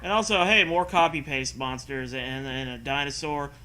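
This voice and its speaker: Bright, forceful man, sarcastic read-aloud. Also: mid-range voice, moderate pace, slight background noise. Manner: sarcastic, swearing. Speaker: host reading and mocking fan fiction. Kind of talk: story read-aloud with mocking casual commentary.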